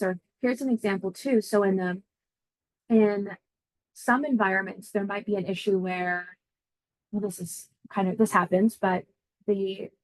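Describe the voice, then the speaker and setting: A woman's voice: mid-range voice, a little mellow, dry recording, rough recording. A woman being interviewed as an air traffic controller, explaining her job conversationally and thinking aloud as she goes. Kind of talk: informal career interview answers.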